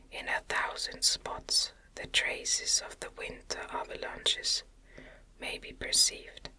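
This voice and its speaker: Slow, breathy whispering woman narrator. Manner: gentle, unhurried, deliberate phrasing. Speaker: audiobook narrator. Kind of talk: whispered ASMR novel reading. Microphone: close microphone.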